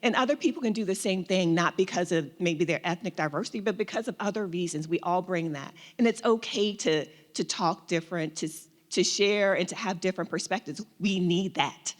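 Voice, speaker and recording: A woman's voice: lowish voice, a little fast, hardly any pauses. A woman panelist giving earnest, reflective advice, often repeating words as she goes. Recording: reverberant hall.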